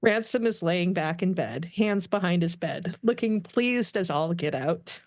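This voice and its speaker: A woman's voice: lowish voice, expressive, measured pace, no room sound. Dry-sounding woman reading stage directions. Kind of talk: scripted narration.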